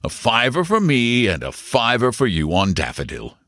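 A man voicing an amused, indulgent uncle in an audiobook, a hearty gentleman who teases and questions. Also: mid-range voice, huge pitch swings, moderate pace, no room sound, clean studio sound, voice pushed up. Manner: jovial, teasing, questioning. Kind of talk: audiobook character dialogue.

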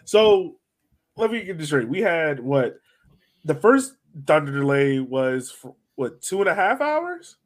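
A man's voice: a little high, big pitch swings, measured pace. A man hosting a soccer fan podcast in casual chat, informal and slangy.

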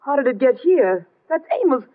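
An actress playing a haughty, high-strung, indignant stage rival in a 1950s radio melodrama. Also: female voice, sing-song, fairly quick, narrow, tinny audio. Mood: alarmed, indignant. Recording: band-limited old 1950s radio recording.

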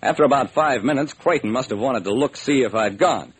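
A man's voice: medium pitch, a little fast, husky. A man acting as a hard-boiled sleuth narrating his case in an old-time radio crime drama, clipped and wry. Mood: pressing, triumphant. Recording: noisy vintage radio recording.

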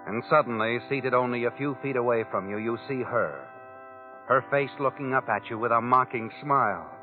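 An actor playing a sardonic, all-knowing narrator of crime tales, taunting in second person in a 1940s radio drama. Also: male voice, mid-range voice, moderate pace, natural voice. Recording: tinny vintage radio recording with music behind.

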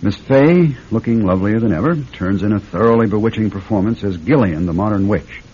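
A man's voice: lowish voice, fairly quick, dark tone, close to an everyday voice. A man acting in an old-time radio drama as a proud, defensive film star, irritable and evasive, with a blustering manner. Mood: moody, subdued. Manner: indignant, blustering. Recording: muffled, noisy old radio recording.

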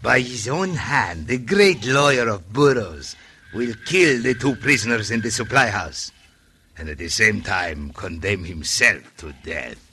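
Low, sharp, sly villain. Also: male voice, lively intonation, heavily acted. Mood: sly menace. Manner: formal, measured, sinister. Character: sly, smooth-talking male villain, formal foreigner. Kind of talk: old-time radio adventure acting. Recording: faintly noisy old radio recording.

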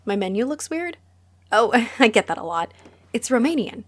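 A woman's voice: a little high, big pitch swings, normal speed, no echo. Woman playing a chatty, flustered vampire cafe owner. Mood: cheerful, chatty. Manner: chatty, apologetic, giggly. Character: friendly, flustered female vampire running a cozy cafe. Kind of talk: roleplay audio drama addressed to the listener.

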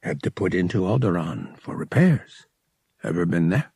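A young pilot acted by a male narrator talks in a slangy, casual way with a sympathetic edge. The voice is husky and creaky, in a dry audiobook recording. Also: low voice, lively intonation, moderate pace, warm tone, no echo, full character voice. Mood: conspiratorial, casual.

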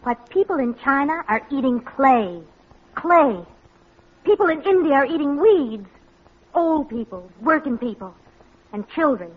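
Earnest, high-voiced reproachful housewife. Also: female voice, sing-song, unhurried. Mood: reproachful, grave. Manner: earnest, emphatic, lecturing. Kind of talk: 1940s American radio sitcom dramatic acting. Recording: muffled old radio recording.

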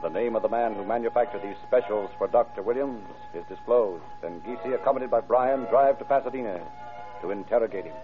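Actor as a tinny vintage crime-show narrator. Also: male voice, fairly low pitch, brisk, faint music behind, lightly acted. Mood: factual, brisk. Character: grave, dramatic male narrator of crime story. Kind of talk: dramatic old-time radio crime narration. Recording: tinny, band-limited vintage radio recording.

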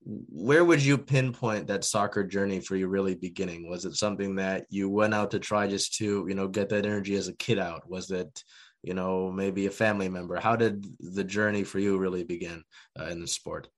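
A man's voice: sits low, fairly even intonation, brisk. A man hosting a sports podcast, asking long, rambling interview questions full of fillers. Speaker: podcast host.

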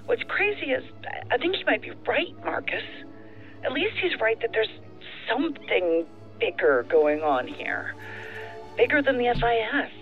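Tinny telephone line, troubled female agent, lively pitch, earnest, pointed, audio drama. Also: measured pace. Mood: doubtful, troubled. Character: frustrated, self-doubting female federal agent. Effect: telephone filter. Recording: tinny phone line, faint music behind.

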